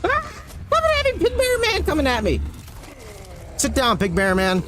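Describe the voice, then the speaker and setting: A man in his thirties streaming a video game live, chatting with viewers and mocking himself in an animated way while reacting loudly to enemies. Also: very high-pitched, moderate pace, heavy background noise. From United States. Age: 30s.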